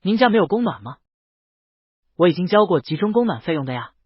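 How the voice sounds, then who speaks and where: A male narrator plays a deferential, slightly bewildered office assistant in a romance audiobook. The voice is husky and fairly high, on a dull lo-fi recording. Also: very animated, fast talker, no room sound, exaggerated voice. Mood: puzzled. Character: puzzled, polite assistant to a company boss. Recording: dull, lo-fi, close dry sound.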